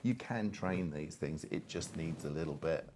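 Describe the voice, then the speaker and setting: A man with a distinctly deep voice talks as a guest on a panel. He is candid and emphatic in a conversational way, over faint background noise. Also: moderate pace, darkish tone. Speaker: panel guest, software advocate.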